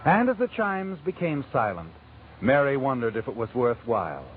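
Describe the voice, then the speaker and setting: An actor playing an ominous, all-knowing mystery narrator with an American accent, sinister and insinuating in 1940s radio style. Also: male voice, mid-range voice, big pitch swings, normal speed, a little hiss or hum, lightly acted. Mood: brooding. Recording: vintage radio recording with narrow band.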